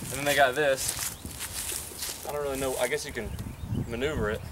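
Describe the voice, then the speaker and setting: A man's voice: mid-range voice, fairly even intonation, a little fast. Young man, playful explorer, outdoor junkyard, audible ambient noise. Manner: playful, clowning, guessing out loud. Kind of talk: casual joking banter.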